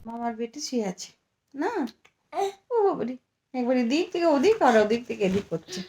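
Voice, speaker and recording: A woman's voice: high-pitched, animated, moderate pace, mellow. A young adult woman, chatting casually and informally as she narrates a home vlog. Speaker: family vlogger, mother of a small child. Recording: noisy home recording with background ambience.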